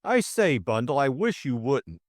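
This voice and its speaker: A man's voice: mid-range voice, sing-song, measured pace, dry recording, clean studio sound, pitched above the performer's own voice. A man voicing an anxious, earnest upper-class young man in an audiobook drama, hesitant and full of old-fashioned exclamations. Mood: worried, earnest.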